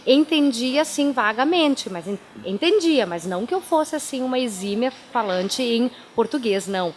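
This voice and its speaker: Native German speaker from southern Brazil; female pastor, interview guest. Slightly high voice, chatty anecdotal delivery. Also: animated, moderate pace, a little hiss or hum. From Timbó, southern Brazil. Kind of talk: radio interview, life storytelling.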